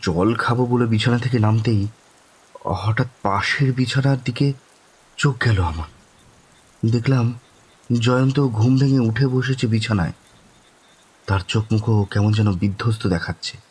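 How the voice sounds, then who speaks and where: A man acting as the shaken first-person narrator of a horror audio story, halting and suspenseful, with dramatic pauses. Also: mid-range voice, a little mellow, husky, rough recording. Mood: uneasy. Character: shaken, haunted man recounting a ghostly dream.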